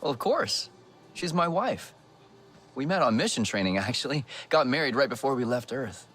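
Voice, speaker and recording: An actor playing a good-natured, talkative human builder in a video game, full of enthusiasm. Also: male voice, mid-range voice, moderate pace, breathy edge. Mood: fond. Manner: talkative, animated. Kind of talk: video game dialogue acting.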